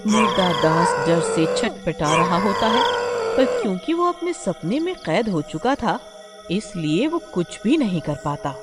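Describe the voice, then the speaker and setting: Slow, swooping female horror storyteller. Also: lowish voice, big pitch swings, over loud music. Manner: dramatic storytelling. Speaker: narrator. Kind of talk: scripted horror story narration.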